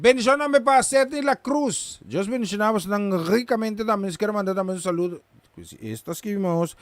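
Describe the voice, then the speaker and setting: A man hosts a gospel radio show, sending greetings to listeners in an upbeat, joking manner. For a man, his voice sits very high. The recording is dry and close, with no room sound. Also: fairly bright tone, dry recording. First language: Papiamentu. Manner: upbeat, joking, reads out names quickly. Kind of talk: live radio shout-outs.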